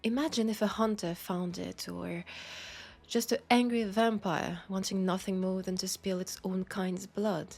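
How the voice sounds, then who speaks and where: Woman acting a breathy, slow-spoken French-accented vampire. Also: medium pitch, fairly even intonation. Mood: grave, melancholic. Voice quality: breathy, soft, slipping into whispers. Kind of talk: intimate audio roleplay addressed to the listener.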